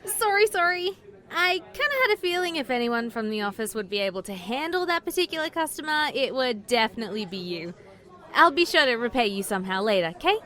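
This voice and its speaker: A woman's voice: fairly high pitch, very animated, brisk, bright, forceful tone. A woman playing a bubbly, casual young call-centre coworker in a comedic audio drama, chirpy and dramatic. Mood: apologetic, pleading.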